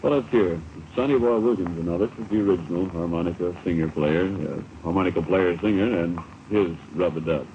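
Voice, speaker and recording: Male American radio host, low register, casual and wryly joking, heard on a dull, noisy 1960s broadcast tape. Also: a little fast, few pauses. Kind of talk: casual radio chat and ad reads. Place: radio studio.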